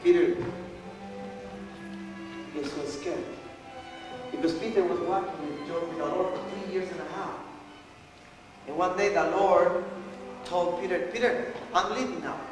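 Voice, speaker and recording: Brief: young male pastor, Spanish accent, high lively voice, echoey church heard from far off. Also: slow pace, pauses often, music in the background. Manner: animated, switches between English and Spanish, rising pitch when excited. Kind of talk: bilingual sermon. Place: large church, at a pulpit. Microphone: gooseneck pulpit mic, far camera.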